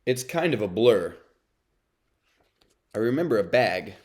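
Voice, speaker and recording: A man's voice: lowish voice, big pitch swings, unhurried. A man reading a children's storybook as a brooding, self-confessed bad sunflower seed, in a dramatic, confessional way. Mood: wistful. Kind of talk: children's read-aloud narration.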